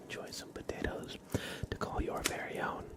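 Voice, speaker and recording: A man hosting an ASMR livestream in a breathy whisper, speaking gently with playful mock-seriousness as he acts out little roleplays. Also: normal speed. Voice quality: breathy whisper, mostly unvoiced.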